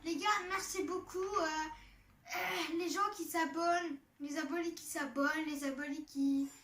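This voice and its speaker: A very young-sounding host narrating an informal online countdown, reading haltingly phrase by phrase with casual asides to viewers. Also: high-pitched, fairly even intonation, fairly bright tone, a little hiss or hum. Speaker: video host.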